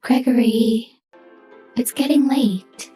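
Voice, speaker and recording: A woman playing a falsely sweet, menacing character in a horror game, coaxing a child in a sugary, deliberate way. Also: on the high side, dark tone. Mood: coaxing, sinister. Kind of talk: horror video game acting. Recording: heavy echo, distant, music behind.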